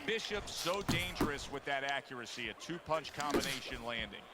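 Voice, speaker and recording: A man playing an excited ringside boxing announcer with an American accent and an emphatic, polished broadcast delivery. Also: mid-range voice, brisk, loud music behind the voice, lightly acted. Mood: excited, approving. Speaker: boxing play-by-play commentator. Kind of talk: live sports TV commentary. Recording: loud arena noise behind. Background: noisy boxing match sounds.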